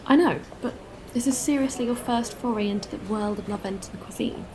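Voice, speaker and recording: A woman's voice: fairly high pitch, fairly quick, background noise, close to an everyday voice. A woman playing a candid, friendly spacefarer in a sci-fi audio drama, talking in a casual, conversational way. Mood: friendly, curious. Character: candid, weary but friendly female spacefarer.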